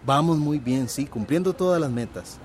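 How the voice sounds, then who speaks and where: A man's voice: on the high side, lively intonation, normal speed, darkish tone, close to an everyday voice. Man as an obsequious construction manager, noisy background. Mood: reassuring, smug. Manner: reassuring, obsequious. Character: deferential, smug male construction manager reporting to boss. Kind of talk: radio drama acting.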